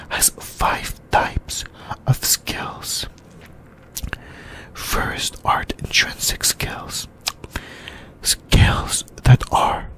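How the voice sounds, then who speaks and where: An ASMR presenter speaks in a hushed, breathy whisper. The delivery is very slow and deliberate, broken into short, halting phrases as it explains things to listeners. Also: mid-range voice. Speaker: host of an anime discussion video.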